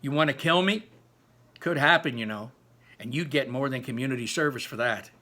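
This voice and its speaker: An indignant teenage boy from a storybook, acted out by a man reading aloud. He is defiant and sputtering, with a bright, forceful edge. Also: medium pitch, fairly quick, close to an everyday voice. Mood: breathless anger.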